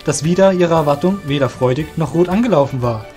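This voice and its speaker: A man narrates a scripted fantasy audio drama. His voice sits fairly high for a man. Faint music plays behind him. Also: a little fast, dark tone.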